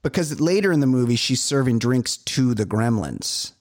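A man from California chats on a comedy podcast in a middle-pitched voice. He rambles through his stories, stacking up fillers and restarts as he goes. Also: moderate pace. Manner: rambling, animated storytelling with many fillers. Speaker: podcast co-host. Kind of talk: casual chat.

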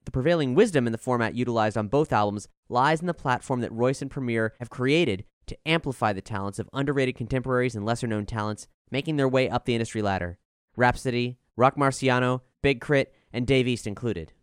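A man's voice: medium pitch, clear, resonant tone, no room sound. Articulate man reading a scripted review, dry recording. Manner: measured, articulate, formal wording. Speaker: album reviewer.

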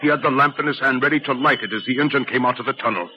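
A muffled, clearly noisy old broadcast carries an actor as a grave railway worker. He is plain-spoken and deferential, with a medium-pitched voice in 1940s radio drama style. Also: racing, lightly acted. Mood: grave, explaining. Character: sombre, respectful working railway man explaining a death.

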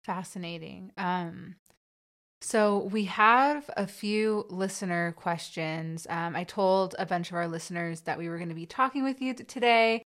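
A woman hosts a conversation, her first language Hebrew. Her voice is smooth and resonant, a little lower than most. She speaks softly and conversationally, with a few stammered sounds. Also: unhurried. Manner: soft-spoken, occasional stammers. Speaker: podcast host. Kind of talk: conversational interview questions.

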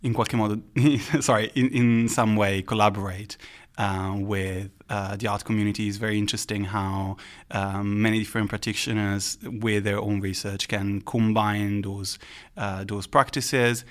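Male podcast guest, lowish pitch, thoughtful and searching delivery. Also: little pitch movement, normal speed. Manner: hesitant, searching for words. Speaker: art curator, podcast guest. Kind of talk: reflective interview talk.

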